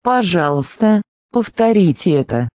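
A speaker slowly repeating short phrases for a language lesson, with careful, deliberate pronunciation. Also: mid-range voice, big pitch swings, a little mellow, no room sound, clean studio sound. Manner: slow, deliberate enunciation. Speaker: Russian phrase reader. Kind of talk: slow scripted phrase reading.

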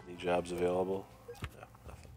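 A man talks through a prison video game in a low, flat voice that stays close to one note. A cold has left him raspy and nasal. Quiet game music plays underneath. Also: fast. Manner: relaxed, thinking aloud while playing. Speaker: gameplay commentator. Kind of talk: casual let's-play commentary. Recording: faint game music behind.

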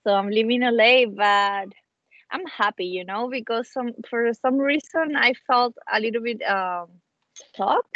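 A woman's voice: a little high, unhurried, a bit bright, dry recording. A young woman from Chile with a Chilean Spanish accent, speaking English as a second language in a casual chat, often restarting phrases while she hunts for words. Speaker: guest, actress. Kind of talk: casual video-call chat. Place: small room at home, indoors. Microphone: wireless earbuds on a video call. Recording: dull lo-fi call audio.

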